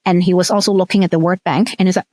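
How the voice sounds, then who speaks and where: A woman's voice: fairly low pitch, fairly even intonation, very fast, a little mellow, dry recording. A middle-aged woman from Hong Kong whose first language is Cantonese, a researcher telling stories as an interview guest, with frequent ums and restarts. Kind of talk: casual interview storytelling.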